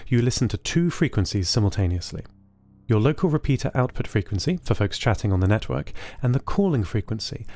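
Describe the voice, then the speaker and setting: A man playing a gentle, anxious sentient computer, narrating its story in a measured, earnest way. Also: on the low side. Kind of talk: scripted first-person audio drama narration.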